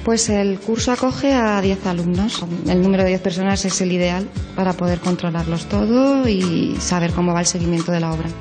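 A woman's voice: on the low side, brisk, over music. A woman answering explanatorily in an interview as a course coordinator.

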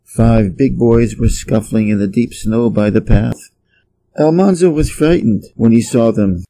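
A man from Wisconsin with an American Midwest accent, reading a children's story aloud slowly and clearly for English learners. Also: mid-range voice, warm tone, slight background noise, poor audio. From Wisconsin, USA. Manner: careful, evenly paced read-aloud. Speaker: audiobook reader for English learners.

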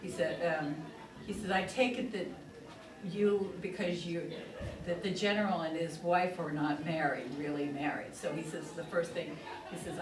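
An older woman, a nun, telling a long story to a class. She keeps stopping to say "he says" as she recounts the dialogue. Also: fairly low pitch. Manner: rambling, repeats "he says" while retelling dialogue. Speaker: religious teacher, nun. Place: plain meeting room with tables. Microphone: far camera across the room. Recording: echoey room, far-off mic, listeners audible.

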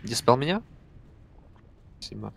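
A man leading a game raid over voice chat, giving brisk commands and swearing casually. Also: medium pitch, very animated, gravelly, slight background noise. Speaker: raid leader.

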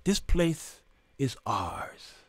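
A man's voice: mid-range voice, sing-song, extremely slow, slightly rough, no room sound. An American man in his fifties hosting an informal, opinionated monologue, speaking emphatically in a preacherly way with rhetorical questions. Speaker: talk show host. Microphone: close condenser microphone on boom arm.

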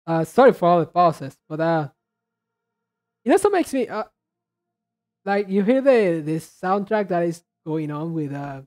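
A man giving casual commentary as a reaction video host, rambling haltingly and often repeating and restarting his words. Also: high voice, sing-song, a little mellow. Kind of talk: casual commentary on game trailers.

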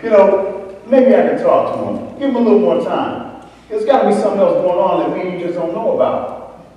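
A high-pitched male voice acted by a man plays an earnest brother in a stage play. He is casual and slangy, stammering as he appeals. The sound is distant, in a very echoey hall. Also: fairly quick, muffled recording, close to an everyday voice. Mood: coaxing. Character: earnest, casual brother trying to smooth things over. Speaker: stage actor. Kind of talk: live stage play acting. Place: stage in an indoor theatre hall. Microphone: far camera. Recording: echoey theatre hall, distant mic, faint noise.

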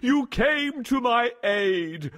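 A man voicing a proud, mighty, boastful lion king in a children's fable, with a theatrical, drawn-out delivery. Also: extremely high pitch, expressive, a bit bright, exaggerated voice. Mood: grateful.

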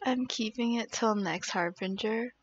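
A woman's voice: fairly high pitch, unhurried. A young woman live-streaming a game in casual, reactive chat, swearing casually, on slightly dull lo-fi audio.

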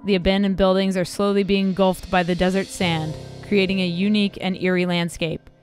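A woman hosting a channel, reading a scripted documentary narration in a measured, even countdown manner. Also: fairly low pitch. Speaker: channel host. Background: music bed.

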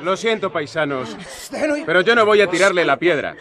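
Bold, taunting prophet for radio drama: high male voice, crowd around him. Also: lively intonation, moderate pace. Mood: sardonic, challenging. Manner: pointed, taunting rhetorical questions. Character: bold sardonic prophet from Galilee, defender of outcasts. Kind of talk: colloquial radio drama acting. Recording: crowd noise behind the voice.

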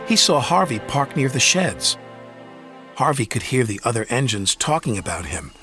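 A man's voice: medium pitch, big pitch swings, moderate pace, bright, forceful tone. A man reading a scripted children's story as its narrator. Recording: music behind the reading.

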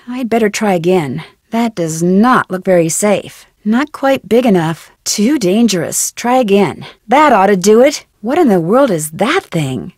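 A woman playing a curious, plucky young amateur detective in an adventure game, thinking aloud in a chipper, clearly enunciated way. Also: medium pitch, darkish tone, dry recording, studio quality. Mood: wary. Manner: inquisitive, chipper, clearly enunciated musing to herself.

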